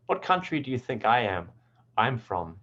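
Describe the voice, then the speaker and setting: A man from New Zealand with a mixed New Zealand, American and British accent, explaining English pronunciation in a relaxed online lesson, with frequent ums. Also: medium pitch, normal speed, muffled recording.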